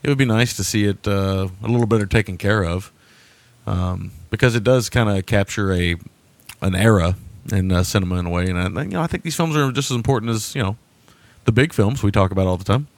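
A man with a fairly low voice co-hosts a casual movie podcast. He is easygoing and works his thoughts out aloud as he talks. His voice is recorded dry, with no room sound. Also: fairly quick, no echo. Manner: easygoing, thinks aloud while talking. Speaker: podcast co-host. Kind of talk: casual chat reviewing movies.

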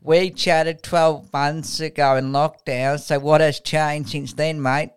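A man's voice: fairly high pitch, fairly even intonation, slow pace, clean tone. A man hosting a podcast, asking short, friendly questions and calling his guest "mate". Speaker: podcast host. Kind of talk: casual interview.

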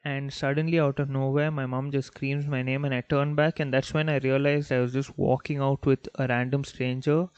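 A man's voice: medium pitch, normal speed, few pauses, clear, resonant tone, dry recording. Young male podcast host, first language Malayalam, laid-back rambling storyteller, dry and slightly dull recording. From Bangalore, India. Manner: relaxed, rambling, many fillers like "like" and "you know". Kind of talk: casual storytelling monologue.

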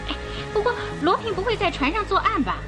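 A talkative, trusting ordinary woman traveler in an old-fashioned radio play. Her pitch is high and leaps about expressively, with music playing underneath. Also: very animated, a little fast. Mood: alarmed. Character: chatty, trusting woman passenger in the cheap cabins. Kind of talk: old-style radio drama acting.

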